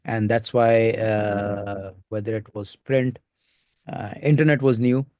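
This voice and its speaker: Host, man, Indian accent, lowish voice, dry close sound, hesitant delivery full of fillers. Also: fairly even intonation, warm tone, no echo. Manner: halting, many filler sounds. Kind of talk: recorded interview conversation.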